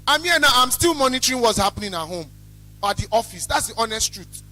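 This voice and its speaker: A young man with a Nigerian accent gives a motivational business talk in a very high, bright, loud voice. He is blunt and emphatic, often repeating a phrase to drive it home. Faint music plays behind him. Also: animated, quick pace. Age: young adult. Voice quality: loud, carrying. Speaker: small chops business owner, guest speaker. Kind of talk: motivational talk to a student audience.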